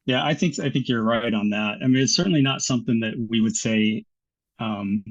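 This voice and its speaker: An American man talking as a guest in a casual interview, easygoing and quick to laugh, often restarting his sentences. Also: mid-range voice, muffled recording. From United States.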